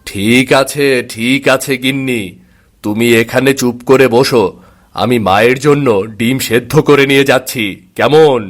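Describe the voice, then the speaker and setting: A man's voice: medium pitch, fairly even intonation, unhurried, lightly acted. A man voicing a meek, anxious husband in a cartoon, placating and flustered as he soothes. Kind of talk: cartoon family drama acting.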